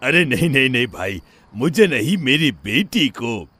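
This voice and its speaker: A man's voice: medium pitch, very animated, a little slow, sharp tone, slight background noise. A man playing an affectionate village father in a cartoon story, indulgent, teasing and reassuring. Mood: puzzled. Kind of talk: animated horror story acting.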